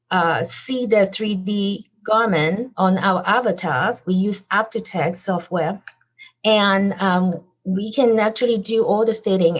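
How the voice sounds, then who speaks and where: A woman's voice: mid-range voice, muffled recording. A woman guest on a podcast interview, talking animatedly about her design business with frequent fillers and light laughs. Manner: laughs lightly as she talks.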